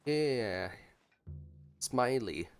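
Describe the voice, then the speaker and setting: A man's voice: mid-range voice, expressive, extremely slow. A man giving casual, playful reaction commentary on a video game, informal and teasing. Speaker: gameplay commentator. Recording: game music behind the voice.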